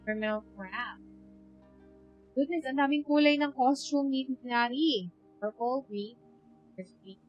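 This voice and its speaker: A woman's voice: high voice, measured pace, pauses often, muffled recording, faint music behind. A woman with a Filipino accent giving casual, playful live commentary as she plays a game, reacting and muttering to herself. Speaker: game streamer.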